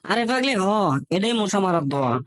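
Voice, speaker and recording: Man acting high-pitched folksy cartoon husband. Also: very animated, fast, heavy background noise. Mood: teasing, cocky. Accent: northern Bangladeshi Bengali. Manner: folksy, exclaiming, teasing. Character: food-loving village husband, jokey and teasing. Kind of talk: comic cartoon dialogue.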